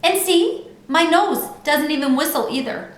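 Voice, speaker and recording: A woman's voice: high-pitched, a little slow, voice pushed up. A woman reading a children's book as a brash, bragging little girl who talks in childlike, emphatic bad grammar. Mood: boastful. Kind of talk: children's book read-aloud. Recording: roomy echo with background noise.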